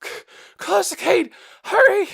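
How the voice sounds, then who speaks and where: Man acting a panicked night guard, shrill frantic shouting. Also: expressive, a little slow, gravelly, no room sound, clean studio sound, full character voice. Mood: frantic, urgent. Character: panicked male night guard, breathless and terrified. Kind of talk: audiobook character acting.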